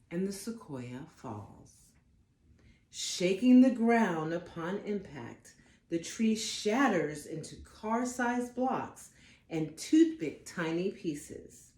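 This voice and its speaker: A woman reading a picture book aloud to children, with expressive, deliberate emphasis on the words. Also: sits low, roomy sound. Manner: dramatic, deliberate emphasis for young listeners. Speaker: storybook reader for children.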